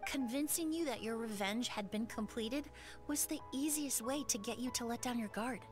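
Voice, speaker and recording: An actress voicing a calm, composed young woman perfumer who lays out the case in a video game, over game music. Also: fairly high pitch, normal speed, close to an everyday voice.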